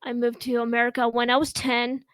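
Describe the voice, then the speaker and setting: A woman in her thirties from Russia, hosting a casual home chat show and talking in a slow, halting way with many pauses. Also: fairly high pitch, monotone, a bit bright, clear, resonant tone, dry recording, studio quality. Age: 30s. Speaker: host.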